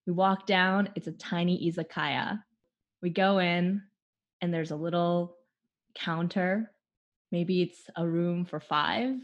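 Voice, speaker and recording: A Canadian-born woman, a theater artist, speaking slowly and thoughtfully in an interview, often pausing and laughing lightly. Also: lowish voice, fairly even intonation, lo-fi sound. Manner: slow, thoughtful, with frequent laughs and pauses. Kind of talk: conversational interview, opening with a guided imagined scene.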